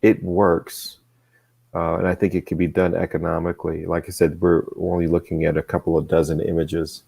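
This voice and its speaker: Low gravelly guy doing casual analysis, kinda hesitant, picks his words. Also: flat delivery, dark tone. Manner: hesitant, measured, searching for words. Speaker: co-host reviewing animation.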